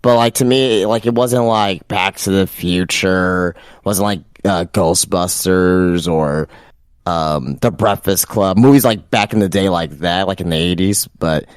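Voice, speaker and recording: A man's voice: sits low, measured pace, clear, resonant tone, no room sound, studio quality. A man co-hosting a podcast, talking casually with lots of stammered restarts and fillers. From Texas. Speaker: podcast co-host.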